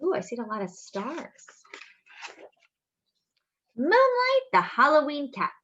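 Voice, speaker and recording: A woman hosting story time, reading a picture book aloud to young children in an expressive, sing-song way, with drawn-out phrases and little asides and questions. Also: sits high, lo-fi sound, a little hiss or hum.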